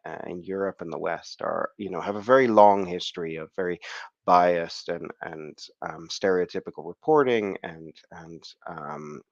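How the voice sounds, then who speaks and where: Low-pitched croaky male academic, halting delivery, dull dry call sound. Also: no room sound. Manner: hesitant, many fillers and restarts. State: croaky from the flu. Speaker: conference speaker. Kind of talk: academic online talk. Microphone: video call.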